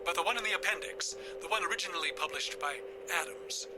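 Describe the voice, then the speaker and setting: An actor playing a kindly, captive old grandfather in an audio drama, halting and stammering but sly. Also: high-pitched, noisy background, close to an everyday voice. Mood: tender, halting.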